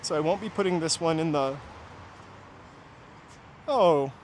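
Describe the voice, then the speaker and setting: A man's voice: on the high side, a little slow, darkish tone. A man from New Hampshire hosts a walking livestream outdoors, chatting casually in rambling asides to his viewers. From New Hampshire, USA. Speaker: walking-tour livestream host. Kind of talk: casual chat while walking. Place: outdoors on city streets, walking. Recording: outdoor street noise, passing vehicles. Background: traffic and urban ambience.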